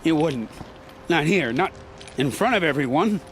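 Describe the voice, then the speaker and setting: A man's voice: a little high, lively intonation, moderate pace, slight background noise, natural voice. An actor playing a cowardly, ingratiating male swindler who stammers nervously in a video game. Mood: nervous pleading. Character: cowardly, grovelling male swindler pleading for mercy.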